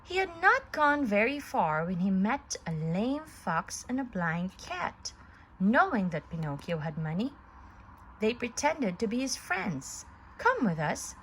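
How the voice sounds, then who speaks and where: A woman reads a children's story aloud. She is deliberate and slow, with lively swings in pitch, and there is slight ambient noise in the recording. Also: rough recording.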